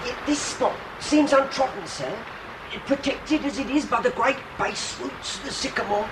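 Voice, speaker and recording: An actor playing an earnest, deferential young police assistant in a Victorian comedy radio drama, speaking formally with a British accent. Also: male voice, very high-pitched, moderate pace, noisy background. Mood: dutiful. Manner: formal, respectful, dutiful.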